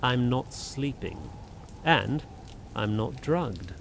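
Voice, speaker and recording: An actor playing a desperate young lord in a radio suspense drama, drugged, breathless and stammering. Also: male voice, mid-range voice, lively intonation, takes it slowly, gravelly, slight background noise. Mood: defiant.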